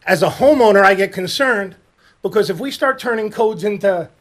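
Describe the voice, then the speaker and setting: A man's voice: high-pitched, normal speed. A man, a homeowner making a public comment at a planning board meeting. He speaks in a pointed, argumentative way. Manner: pointed and argumentative, growing forceful.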